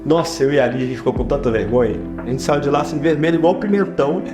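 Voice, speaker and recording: A man's voice: on the high side, racing. A man with a Brazilian Portuguese accent giving talkative, explanatory interview answers, often adding tag questions. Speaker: interview guest, photographer and production director. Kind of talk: conversational interview answers with personal anecdotes. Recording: roomy echo, guitar music behind. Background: guitar music.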